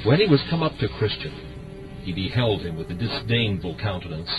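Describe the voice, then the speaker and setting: A man's voice: lowish voice, sing-song, a little fast. A man narrating an archaic story from a script as the narrator of an audio drama. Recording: slightly dull sound with music. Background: music.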